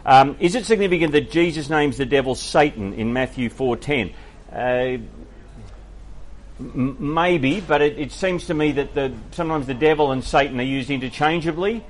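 A man's voice: a little high, normal speed, a little hiss or hum. A man, a pastor, answering faith questions from the congregation in a thoughtful, hesitant way with many fillers. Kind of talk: Q&A sermon.

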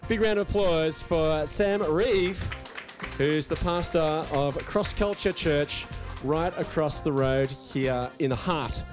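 Upbeat guy hosting a live show outside, a bit high-voiced, faint music in the back. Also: takes it slowly. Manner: upbeat, presenter-style introductions. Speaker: show host. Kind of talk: hosting a live outdoor interview. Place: outdoors at a festival.

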